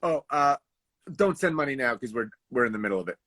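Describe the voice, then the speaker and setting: A man hosting a live raffle in casual livestream chat, haltingly thinking aloud as he handles each task. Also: mid-range voice, expressive, fairly bright tone, no room sound, studio quality. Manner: halting, thinking aloud while doing tasks.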